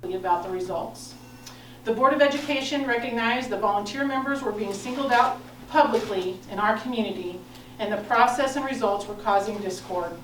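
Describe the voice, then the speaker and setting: A woman's voice: a little high, moderate pace. Distant American woman reading a formal statement. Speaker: school board president. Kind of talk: formal prepared statement read aloud. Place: indoor board of education meeting room. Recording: roomy, noisy, distant meeting-room recording.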